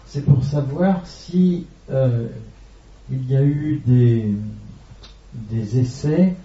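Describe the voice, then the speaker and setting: A man in the audience asking a question hesitantly, pausing often with fillers. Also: medium pitch, warm tone. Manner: hesitant, halting with many fillers. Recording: distant, roomy and dull.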